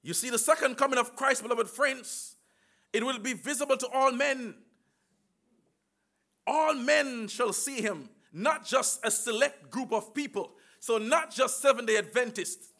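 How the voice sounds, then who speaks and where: A man preaching a sermon with emphatic call-and-response and slow, drawn-out phrases. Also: extremely high pitch, sharp tone.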